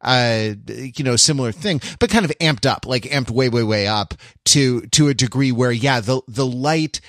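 A man co-hosting a podcast, casually analysing film in a halting way as he searches for words. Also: medium pitch, a bit bright, no room sound, clean studio sound. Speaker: podcast co-host.

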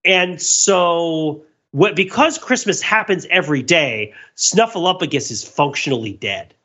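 A man hosting a casual comedy podcast, retelling stories in an animated, rambling way full of tangents. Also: fairly high pitch, measured pace. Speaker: podcast host. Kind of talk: casual comedic pop-culture discussion. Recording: dull lo-fi podcast audio.

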